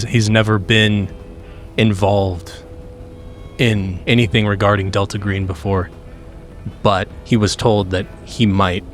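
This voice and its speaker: A man who is a player in a tabletop roleplaying game, describing his character in a halting way. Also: on the low side.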